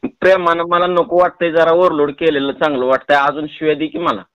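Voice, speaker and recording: A man with a noticeably high voice and a rural Marathi accent chats on a prank phone call. He coaxes and teases persistently, and the sound is dry and close. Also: brisk, gravelly, a bit bright, smooth, no room sound. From Tuljapur, Maharashtra, India. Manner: playful, coaxing, pestering. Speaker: prank caller.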